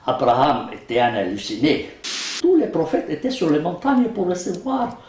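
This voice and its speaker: A man's voice: on the high side, huge pitch swings, moderate pace, a little mellow, roomy sound, slight background noise. A man from Palestine, a law professor interviewed, who repeats his points and laughs as he talks. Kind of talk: interview talk.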